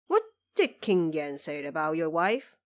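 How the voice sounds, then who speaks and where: Woman voicing a dignified male ruler, slightly low, formal, measured, stately, audio drama. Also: sing-song. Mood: curious. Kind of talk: audio drama character acting.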